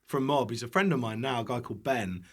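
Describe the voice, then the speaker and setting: A young man with a British accent and a mid-pitched voice talks as a podcast guest. He rambles casually through anecdotes, cutting himself off and acting out other people's remarks. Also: fast talker, slightly rough. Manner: rambling, self-interrupting, acts out quotes. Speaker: podcast guest, online cook.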